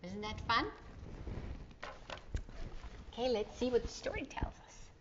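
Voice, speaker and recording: A woman's voice: on the high side, lively intonation, a little slow, background noise. A middle-aged woman reading a children's story aloud, expressively, with chatty questions to young listeners. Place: indoor living room. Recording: dull, slightly lo-fi sound.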